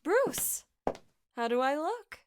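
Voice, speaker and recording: A woman playing a gentle, doting mother in an audio drama, tender and genteel in manner. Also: high voice, big pitch swings, slow, background noise, full character voice. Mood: affectionate.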